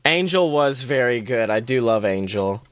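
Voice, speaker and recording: A woman co-hosting a podcast, bantering casually about a TV show with excitable, dramatic emphasis. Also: a bass voice, normal speed, no echo. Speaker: podcast co-host.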